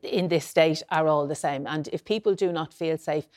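A woman's voice: sits low, moderate pace, darkish tone. An Irish woman politician making her case earnestly on a radio panel discussion. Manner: earnest, insistent, builds to emphatic.

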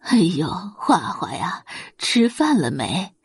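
A woman's voice: on the low side, big pitch swings, takes it slowly, gravelly, breathy edge, dry recording, studio quality, exaggerated voice. A woman voicing friendly, concerned country villagers in an audio drama, with a folksy, solicitous manner.

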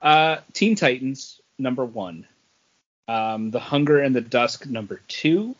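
A man's voice: medium pitch, lively intonation, takes it slowly, dull audio. A man co-hosting a podcast, giving his opinions on comic books in casual chat.

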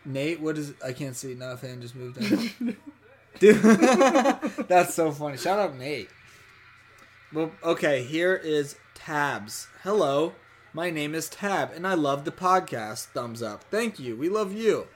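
An upbeat man co-hosts a laid-back podcast, reading listener messages aloud and cheering them on. He laughs easily and sounds enthusiastic. His voice sits a little high for a man. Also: animated, slow pace. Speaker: podcast co-host.

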